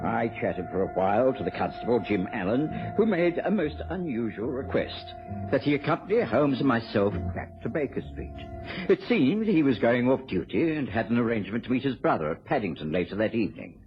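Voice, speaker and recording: A genteel, measured English gentleman narrates a detective story in an old British radio play. His pitch is middling and his delivery composed. The recording is dull, with music behind him. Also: big pitch swings. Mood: composed narrating. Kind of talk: scripted narration in vintage radio drama.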